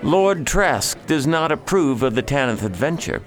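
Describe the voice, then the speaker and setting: A man's voice: on the high side, sing-song, normal speed, soft background music. A man playing a sociable, enthusiastic noble cousin in a radio drama, breezy and persuasive. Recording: faint crowd chatter behind.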